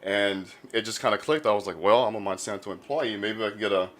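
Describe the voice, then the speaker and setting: A man's voice: lowish voice, fast, fairly bright tone. A man hosting a podcast in a casual, laid-back, informal interview style. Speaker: podcast host.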